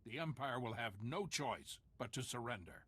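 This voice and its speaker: A grandiose, defiant military general. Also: male voice, mid-range voice, lively intonation, normal speed, fairly bright tone. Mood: defiant boasting. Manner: grandiose, declamatory. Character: proud military general, defiant scheming villain. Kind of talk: video game voice acting.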